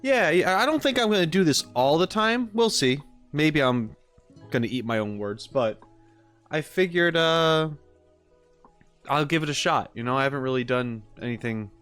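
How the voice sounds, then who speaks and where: A man's voice: mid-range voice, moderate pace, a bit bright, smooth. A man live streaming, talking casually and thinking aloud in an easygoing, rambling way. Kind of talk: casual livestream chat. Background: background music.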